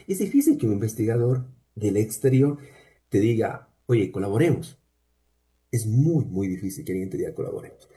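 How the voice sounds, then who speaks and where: A man with an accent from Cuenca, Ecuador, a university researcher explaining things at a measured pace with frequent pauses as a guest in an interview. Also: on the low side, mellow. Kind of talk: conversational interview answers.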